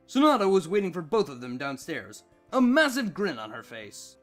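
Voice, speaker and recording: Lively, expressive story narrator. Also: mid-range voice, moderate pace, faint music behind. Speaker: narrator, fan fiction reader.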